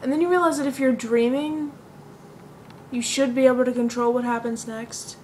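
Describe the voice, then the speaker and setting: A woman performing an amateur stage monologue as a depressed young woman, confiding earnestly and deliberately, recorded on an iPod. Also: on the high side, fairly even intonation, darkish tone, slight background noise, close to an everyday voice. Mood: subdued, confiding.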